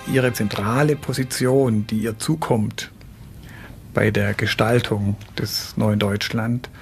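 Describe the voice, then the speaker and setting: A man's voice: medium pitch, vocal fry. A man explaining as an expert commentator in an interview.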